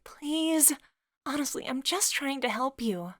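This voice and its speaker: Woman doing a high, cheery young woman friend, eager and pushy, comic dub. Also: animated, measured pace, dry recording, studio quality. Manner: upbeat and eager, high bright delivery.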